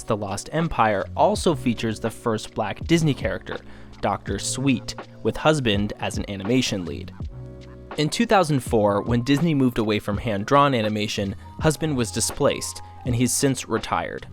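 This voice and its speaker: A man reading scripted documentary narration in a measured, formal, informative manner. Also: mid-range voice, expressive. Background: faint music.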